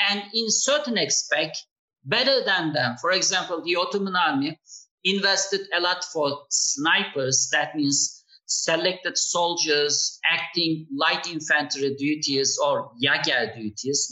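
An older man from Turkey with a Turkish accent, explaining history as a podcast guest in a measured way with frequent pauses. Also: fairly high pitch, pushed and bright, low-quality recording. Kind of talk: podcast interview answer.

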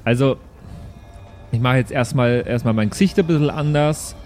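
A man's voice: medium pitch, normal speed, darkish tone, faint music behind. A man chatting casually as a player in an improvised tabletop game.

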